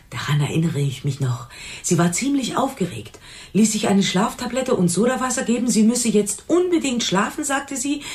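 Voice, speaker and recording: A woman's voice: on the high side, a little mellow. An actress playing a shaken woman on night reception at a motel, hesitant and flustered, in a radio crime play. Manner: hesitant, flustered, halting recollection. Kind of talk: German radio crime drama acting.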